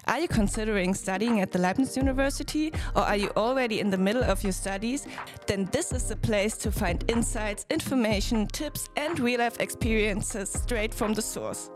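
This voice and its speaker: Brisk woman hosting a podcast. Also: a little high, music in the background. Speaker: podcast host. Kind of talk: scripted podcast intro and interview questions.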